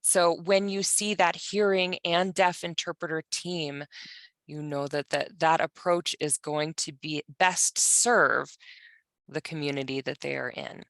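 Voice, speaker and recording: A woman interpreting for a panelist, speaking in first person in a measured, halting way with pauses. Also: sits low, steady tone, fairly bright tone, dry recording. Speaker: sign language voice interpreter for a panelist.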